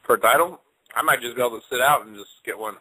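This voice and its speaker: Easygoing male guest on a radio show, bright and forceful tone, dry close sound. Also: medium pitch, fast, slightly rough, dry recording, poor audio. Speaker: guest fighter. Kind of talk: radio interview.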